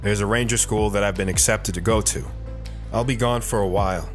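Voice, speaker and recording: A man's voice: on the low side, steady tone, brisk, faint music behind, lightly acted. A man playing an earnest, wistful retired soldier who tells his life story with restraint in an audio drama. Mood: earnest, tender.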